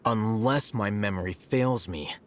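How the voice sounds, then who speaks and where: Low, sardonic young male scholar played by an actor. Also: lightly acted. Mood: sardonic challenge. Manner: measured, dry, articulate, faintly mocking. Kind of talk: video game dialogue. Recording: game music behind the voice.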